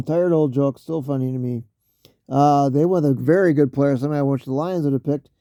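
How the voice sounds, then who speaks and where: A man losing his voice, talking casually through a solo mock draft, halting with fillers and often clearing his throat. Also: fairly high pitch, mellow, no echo, studio quality.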